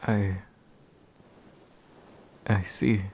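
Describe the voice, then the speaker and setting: A courteous demon, acted by a man, speaks in a dark, mellow voice with a gravelly fry. He is gentle, hesitant and formally polite, taking his time in a soft ASMR roleplay meant to comfort. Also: lowish voice, little pitch movement, drawn-out words, a little hiss or hum. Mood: concerned, tender. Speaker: ASMR voice actor.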